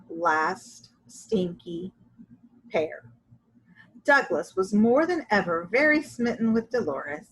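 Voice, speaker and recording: A woman reading a children's story aloud in an animated, expressive way with drawn-out emphasis. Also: mid-range voice, slightly rough, poor audio. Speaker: storybook reader.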